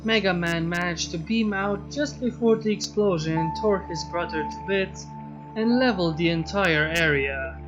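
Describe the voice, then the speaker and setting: A man's voice: high voice, normal speed. A man reading fan fiction aloud as the narrator. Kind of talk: story narration. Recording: muffled mic with music behind. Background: music.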